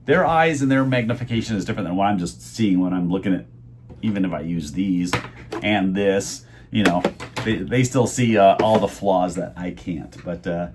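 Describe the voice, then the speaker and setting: A middle-aged man casually rambling in a home vlog about his reseller sales, chatty and meandering with many fillers, his voice going hoarse. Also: sits low, a little hiss or hum. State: losing his voice. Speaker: eBay reseller vlogger.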